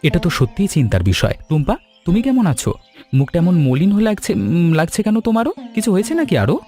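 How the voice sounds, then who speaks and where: A man voicing a kind, generous gentleman customer in a cartoon story, speaking earnestly and with feeling. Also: high-pitched, quick pace, soft background music. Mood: concerned. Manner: expressive, earnest, caring. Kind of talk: animated cartoon story dialogue.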